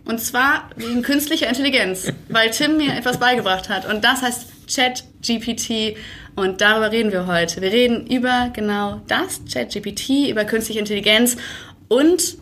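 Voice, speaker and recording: A woman podcast host with a fairly high voice, speaking in a conversational interview style. There is some background noise behind her. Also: moderate pace, a bit bright.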